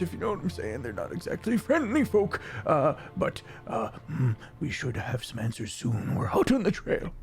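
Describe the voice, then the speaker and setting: A man's voice: fairly high pitch, gravelly. A man narrating as game master in a tabletop role-playing game, rambling loosely and laughing often.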